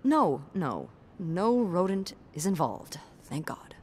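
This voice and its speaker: A sassy, gossipy young hotel receptionist in a story-driven video game. Her voice sits low for a woman, with a wry, sarcastic, blunt conversational delivery and faint noise behind it. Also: sing-song, slow pace. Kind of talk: natural conversational game acting.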